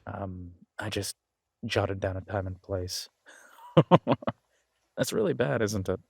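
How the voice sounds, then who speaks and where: Easygoing, bashful werewolf biker played by a male actor, lowish voice, casual hesitant delivery, dry close intimate audio-drama sound. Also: slow pace, vocal fry, a little mellow, slightly rough, no room sound, full character voice. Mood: sheepish, affectionate. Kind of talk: intimate roleplay audio drama, one-sided conversation.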